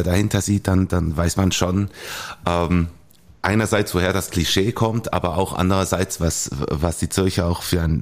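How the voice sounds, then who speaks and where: A man with a Bernese Swiss German accent chatting on a podcast, telling stories in a halting, hesitant way. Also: sits low, darkish tone. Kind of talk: casual podcast chat.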